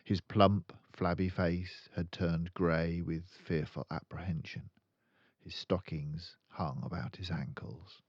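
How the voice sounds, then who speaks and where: An older man reading a children's story aloud, deliberate and dramatic in his narration. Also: deep voice, steady tone. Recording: slightly dull, roomy home recording.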